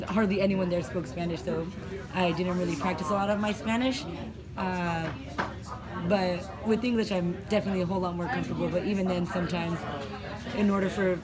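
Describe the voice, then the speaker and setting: A woman teacher in her thirties answers questions in a measured, thoughtful way. Her voice sits a little low. Loud chatter from a crowd fills the background. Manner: thoughtful, measured, mixes in fillers. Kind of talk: interview answers. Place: classroom office with shelves.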